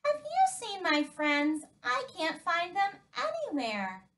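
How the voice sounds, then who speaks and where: Storybook unicorn boy, curious and pleading, high bright voice, put on by a woman. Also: wildly swooping pitch, slow pace, recorded from a distance, full character voice. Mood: questioning. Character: sweet curious young unicorn boy searching for friends.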